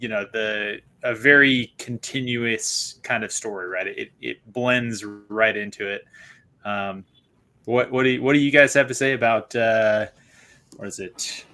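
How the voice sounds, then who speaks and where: A man hosting a casual online book club chat, chatty and quick to laugh, often restarting his sentences. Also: fairly low pitch, fairly even intonation, pushed and bright.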